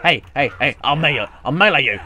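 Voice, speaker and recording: Male let's player, casual and rambling, mid-pitched, self-mocking, talks to himself while playing. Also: lively intonation, quick pace, pushed and bright. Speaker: gaming YouTuber. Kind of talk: casual let's play commentary.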